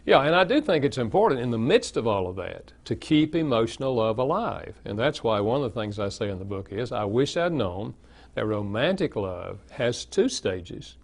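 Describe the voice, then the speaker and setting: An older man with a Southern American accent, an author answering interview questions in a steady, explanatory way. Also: mid-range voice, moderate pace, creaky. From Southern United States. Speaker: author and guest. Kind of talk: interview conversation.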